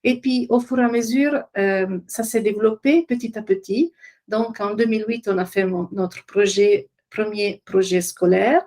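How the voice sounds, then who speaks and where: Middle-aged lady w/ presenting her work, medium pitch, kinda hesitant, hunting for words. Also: normal speed, a little mellow. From Italian-speaking Switzerland. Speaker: occupational therapist, trainer and business founder presenting. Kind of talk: informal web conference presentation.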